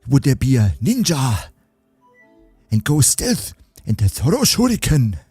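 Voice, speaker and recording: A man's voice: medium pitch, huge pitch swings, slow pace, mellow, slightly rough, no room sound, faint music behind. A man chatting casually with viewers on a livestream, reading chat aloud and joking around. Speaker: streamer.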